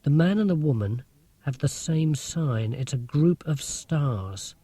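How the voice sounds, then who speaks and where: Man as an anxious, earnest schoolboy, lively pitch. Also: slow pace, slightly rough, no echo, heavily acted. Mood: indignant. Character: anxious, earnest schoolboy convinced of alien threat.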